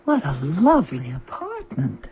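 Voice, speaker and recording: A woman's voice: sits low, huge pitch swings, unhurried, heavily acted. An actress playing a sweet, demure young female android in a 1970s radio drama. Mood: demure, agreeable. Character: young female android, sweet, compliant, artlessly charming fiancee. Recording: tinny, noisy old radio recording with hum.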